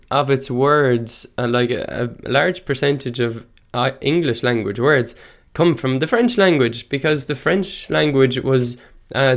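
A man from County Clare with an Irish accent, whose first language is Irish, hosting a casual solo show. He thinks aloud and drifts between tangents, correcting himself and laughing lightly as he goes. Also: mid-range voice. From Ireland. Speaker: podcast host.

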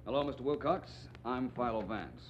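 An actor playing a suave, polished American private detective in 1940s radio style. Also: male voice, mid-range voice, moderate pace, a little hiss or hum, natural voice. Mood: cool, probing. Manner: polished, crisp, probing. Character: suave, self-assured male private detective. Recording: muffled old radio recording.

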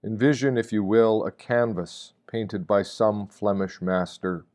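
A man reading a scripted, reflective meditation with measured, deliberate phrasing and pauses. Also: lowish voice, fairly even intonation, a little mellow. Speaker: reader of meditations.

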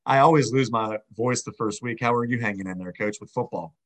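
Man, podcast co-host, slightly hoarse, friendly conversational delivery. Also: mid-range voice, a little fast, fairly bright tone. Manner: friendly, chatty. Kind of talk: casual podcast chat.

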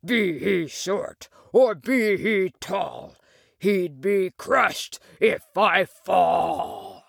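A woman voicing a big, jolly green male giant in a storybook tale, gravelly, teasing and theatrical. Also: fairly low pitch, no room sound, studio quality, exaggerated voice. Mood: jovial booming. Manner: slow, drawn-out, theatrical delivery.

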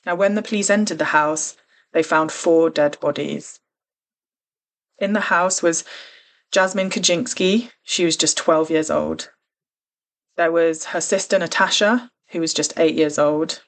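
A woman's voice: low-pitched, measured pace. A woman hosting a true crime podcast, talking in an earnest, careful and conversational way. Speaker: podcast host.

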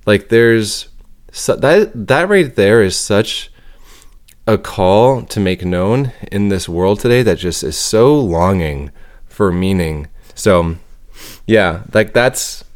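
A man's voice: fairly low pitch, expressive, slow pace. A young man co-hosting a faith podcast, talking in an earnest, reflective way as he searches for his words. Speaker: podcast co-host and storyteller. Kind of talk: casual podcast chat.

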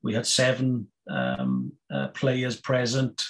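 A Welsh man in his fifties, a guest on a podcast, talking casually with many pauses, fillers and restarts. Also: medium pitch, big pitch swings, gravelly. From Wales. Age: 50s. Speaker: guest, snooker player and federation chairman.

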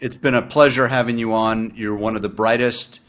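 A man's voice: medium pitch, steady tone, normal speed, a bit bright. A man hosting an interview, joking in a friendly, casual way with his guest. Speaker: host.

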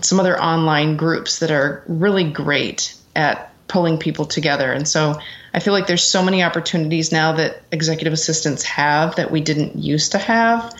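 A middle-aged American woman giving earnest, reflective answers as an interview guest in a conversational way. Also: deep voice. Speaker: interview guest, executive assistant. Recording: dull, lo-fi sound.

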